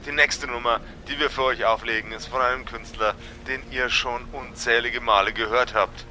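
A man's voice: mid-range voice, steady tone, moderate pace, pushed and bright, a little hiss or hum. A man voicing a brash male radio DJ who hypes tracks with slangy announcer patter. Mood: hyped.

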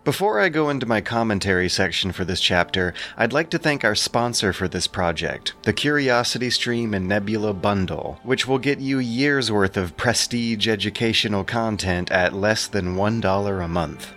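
A man narrating and hosting a scripted audio production in a measured, prepared delivery. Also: lowish voice, lively intonation, fairly bright tone. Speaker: narrator and host. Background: music.

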